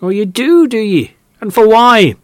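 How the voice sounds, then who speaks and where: A man voicing an angry, grasping middle-aged male farmer who shouts and scolds in a broad country dialect. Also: sits high, lively intonation, a little slow, no echo, studio quality, pitched above the performer's own voice. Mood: bitter.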